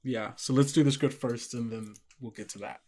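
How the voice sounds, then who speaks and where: A man's voice: mid-range voice, fairly even intonation, moderate pace, a little mellow. A man working as game master, improvising descriptive narration in a casual way peppered with "like". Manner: descriptive, frequently hedging with "like".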